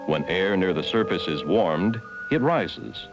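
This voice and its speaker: Male documentary narrator, low and formal, varied intonation, vintage 1970s film sound. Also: animated, over loud music. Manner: measured, formal, instructional. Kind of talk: scripted educational film narration.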